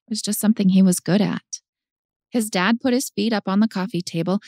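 A woman's voice: mid-range voice, fairly quick, dark tone, dry recording, clean studio sound. A woman narrating a story for an audiobook in a scripted style.

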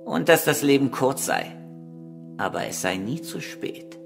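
An actor playing a cynical killer under questioning in a radio crime drama, smug, condescending and calculating. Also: male voice, mid-range voice, big pitch swings, unhurried, vocal fry, over music. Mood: calculating, contemptuous.

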